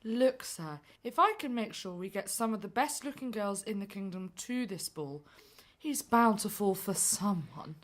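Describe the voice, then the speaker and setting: A woman's voice: medium pitch, expressive, unhurried, natural voice. A woman playing a stern, scheming male aide to a king in a radio play, formal and curt. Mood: persuasive. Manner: deferential to the king, curt with others. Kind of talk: stage musical radio play acting.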